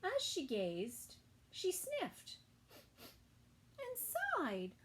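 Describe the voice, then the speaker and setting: A woman reads a children's picture book in a fairly high voice that swings expressively up and down. She takes it very slowly, with sing-song phrasing and soft asides to her young listeners. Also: wildly swooping pitch. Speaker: children's story time reader. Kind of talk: expressive picture-book read-aloud for toddlers.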